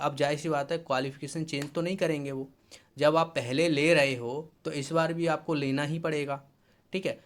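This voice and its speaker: A man's voice: medium pitch, fairly bright tone. A man from India explains a notice informally as an exam-preparation teacher, instructive and repetitive, mixing in English technical terms.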